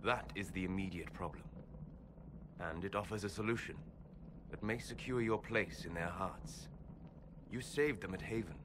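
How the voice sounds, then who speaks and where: A man playing a learned, wary elf adviser in a video game, speaking calmly and gravely with deliberate, formal phrasing. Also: sits low, noisy background, lightly acted. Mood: grave, measured. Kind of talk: dramatic video game dialogue.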